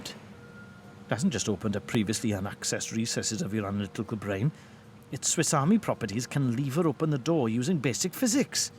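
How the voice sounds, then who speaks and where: An actor playing a hapless, anxious detective's sidekick in a comic audio drama, flustered and earnest. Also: male voice, mid-range voice, a little hiss or hum, lightly acted.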